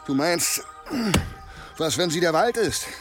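A man's voice: fairly high pitch, expressive, slow pace, soft background music. An actor playing a down-to-earth, sceptical village man in an audio drama, wry and matter-of-fact. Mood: wry, joking. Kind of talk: German audio drama acting.

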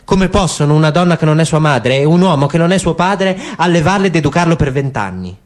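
A man's voice: fairly high pitch, lively intonation, fairly quick, pitched above the performer's own voice, close to an everyday voice. A man voicing a tense young soldier in a radio drama reading, speaking stiffly, as if reciting a rehearsed speech. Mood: declaiming. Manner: stiff, declaiming.

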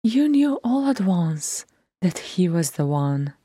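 A woman voicing a calm, motherly elder dwarf sister who coaxes and soothes. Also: fairly low pitch, slow, breathy edge, no echo, studio quality, voice pushed up, heavily acted. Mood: soothing.

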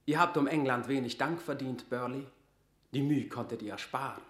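An actor playing a proud, ironic courtier lord in a classical radio drama, with measured sardonic delivery. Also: male voice, mid-range voice, a bit bright, lightly acted. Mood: mocking. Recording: roomy studio echo.

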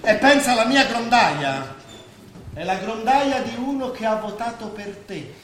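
In a heavily reverberant hall, a male actor plays a self-important lawyer. His voice is high and ceremonious, projected in theatrical style. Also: lively intonation, unhurried, a bit bright. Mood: jovial. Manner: ceremonious, calling for order. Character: officious, self-important lawyer presiding over an election. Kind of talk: theatrical stage acting. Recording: very echoey hall, faint noise.

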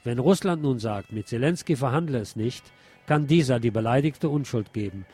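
A man's voice: medium pitch, animated, moderate pace, warm tone, slightly rough, faint music behind. A man hosting a radio programme, reading press commentary and news aloud. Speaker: radio show host.

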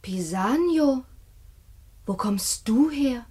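An actress playing a passionate, devoted and defiant young princess in a classic radio play, declaiming with intensity. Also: female voice, a little high, animated, very slow, darkish tone, exaggerated voice. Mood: anxious longing. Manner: declamatory, intense, dropping into breathy whispers.

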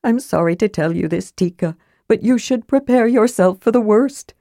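A woman's voice: fairly high pitch, moderate pace, warm tone, no echo, clean studio sound, pitched above the performer's own voice, close to an everyday voice. Woman voicing a small, chirpy male kender. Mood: solemn. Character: small, chatty, curious, cheerful male kender adventurer. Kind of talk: fantasy audiobook character voice.

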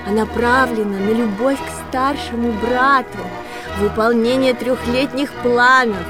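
A woman's voice: fairly high pitch, fairly even intonation, measured pace, close to an everyday voice. An actress playing a zealous woman party propagandist, declaiming fervently in an audio drama with music behind her. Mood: devout zeal. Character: zealous female party propagandist denouncing vice. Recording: clear music bed under voice.

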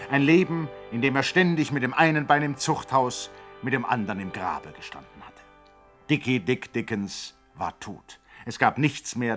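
A man's voice: on the low side, a bit bright. A man narrating a 1960s radio play in a grand, mock-solemn way.

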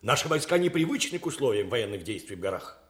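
Casting: man to play loyal subordinate general, radio play, earnest, formal, medium pitch, highly varied intonation. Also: very animated, quick pace, lightly acted. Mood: alarmed. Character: loyal prince and general, anxious, respectful subordinate. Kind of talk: Soviet radio drama acting.

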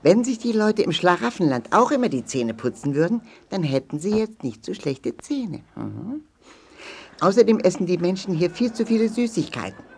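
Eine Schauspielerin spricht einen freundlichen Zahndoktor in einem Kinderhörspiel, mit rauchiger Stimme, eifrig erklärend, betont und ermutigend. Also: female voice, on the low side, big pitch swings, normal speed, darkish tone, a little hiss or hum. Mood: eagerly instructive. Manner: emphatic, explanatory, encouraging. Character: friendly, instructive tooth-care doctor, eager teacher. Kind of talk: children's audio drama.

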